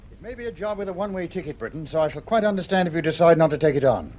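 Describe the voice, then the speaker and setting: A courteous chief of a space project in 1950s radio drama. He speaks with formal authority in a highish, animated voice. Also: racing, a little hiss or hum. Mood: grave.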